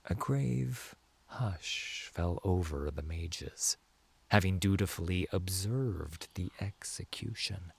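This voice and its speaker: Man, low-pitched voice, slow pace, solemn scripted story narration, dramatic. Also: vocal fry, darkish tone, a little hiss or hum. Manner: measured, solemn.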